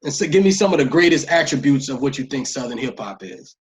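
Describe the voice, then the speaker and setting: A man's voice: medium pitch, lively intonation, quick pace. A man interviewing someone in a casual, conversational way.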